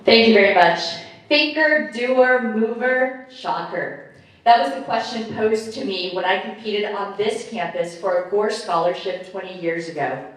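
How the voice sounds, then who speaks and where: A woman in her late thirties from Guatemala, giving a measured, rehearsed campaign speech at a forum. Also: mid-range voice. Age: late 30s. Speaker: mayoral candidate. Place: large echoey hall with audience. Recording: echoey hall, speaker far from mic.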